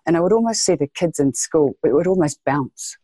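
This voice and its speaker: New zealand woman on a podcast, warm mellow tone, dry close sound, keeps repeating herself for emphasis. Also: sits low, expressive, fairly quick, dry recording. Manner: earnest, emphatic, repeats phrases for stress. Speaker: guest, education leader. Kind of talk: podcast interview.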